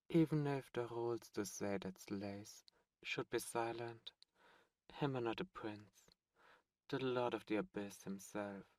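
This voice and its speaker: A man's voice: medium pitch, a little mellow, dry recording, lightly acted. A man playing a flirtatious, imperious demon prince in an intimate ASMR roleplay, with theatrical, courtly phrasing. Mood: amused, flattering. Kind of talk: ASMR roleplay audio drama, intimate close delivery.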